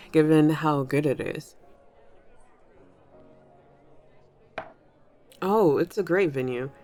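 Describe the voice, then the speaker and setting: A woman's voice: sits low, a little mellow. A woman voicing a flirtatious thunder fae in an intimate audio roleplay, teasing and coy as she addresses the listener. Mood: smooth, inviting. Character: flirtatious thunder fae at a New Year's party, smooth charmer. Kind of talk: ASMR audio roleplay, intimate one-sided dialogue.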